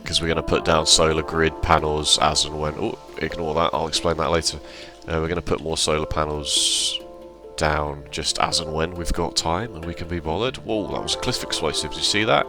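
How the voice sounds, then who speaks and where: A man giving relaxed, rambling commentary over his gameplay, sounding slightly hoarse and correcting himself as he goes. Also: very deep voice, fairly quick, music in the background. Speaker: let's play host.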